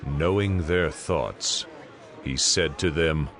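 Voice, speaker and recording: A man narrating a dramatized scripture reading in a measured, solemn way. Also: rumbling low, a bit bright, some chatter behind.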